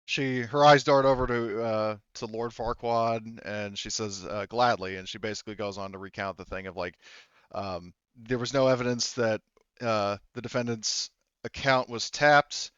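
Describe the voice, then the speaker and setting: Smooth low-voiced guy gm-ing a tabletop game, thinking out loud, dry slightly muffled audio. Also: steady tone, normal speed, dry recording. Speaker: game master. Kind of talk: improvised tabletop game narration and casual chat.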